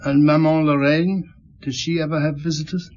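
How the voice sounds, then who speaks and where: An actor playing a calm, gentle middle-aged police inspector who questions softly and reassuringly in a radio drama. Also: male voice, on the high side, dull audio, close to an everyday voice. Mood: gently probing. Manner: unhurried, reassuring questioning with thoughtful murmurs.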